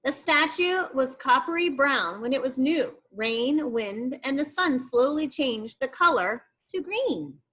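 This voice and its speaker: A woman teacher reading an informational book aloud to young children, expressive and explanatory, with asides to her pupils. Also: high voice, slow, hardly any pauses.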